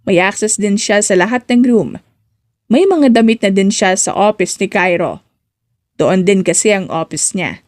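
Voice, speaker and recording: A woman's voice: mid-range voice, measured pace. A woman reading scripted narration for a romance story as an audiobook narrator.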